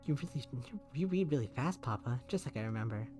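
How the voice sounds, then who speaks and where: A man voicing a timid young woman scientist who pleads softly with her father in a game reading. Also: mid-range voice, animated, fast, faint music behind, close to an everyday voice. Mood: earnest. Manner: soft, pleading, eager to please.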